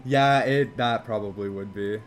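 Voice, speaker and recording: A man with a lowish, fairly monotone voice chats loosely while he codes on a live stream, swearing casually and circling back on his thoughts. A faint hiss of noise sits under the sound of his desk mic. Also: measured pace. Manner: rambling, laid-back, swears casually, frequent "like" fillers. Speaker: game dev streamer.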